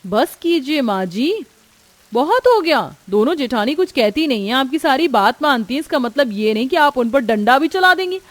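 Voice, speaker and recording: A young modern daughter-in-law from a moral story, performed by a woman narrator. She is brash, playful and outspoken, with a lively high voice. The recording is dry. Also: normal speed, no echo. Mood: indignant.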